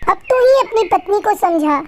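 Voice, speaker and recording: Very high, fussing mother-in-law bird played by a woman. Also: expressive, normal speed, a little hiss or hum. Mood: fussing, affectionate. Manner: insistent, motherly. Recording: faint music behind.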